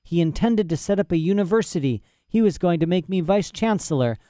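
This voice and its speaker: Man doing an aggrieved, boastful old academic, pitched up a touch, rambling. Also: animated, fairly quick, warm tone, no room sound, studio quality. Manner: boastful, ranting, rhetorical "eh?" asides. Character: old imprisoned male professor, indignant and rambling. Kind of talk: audiobook character voice.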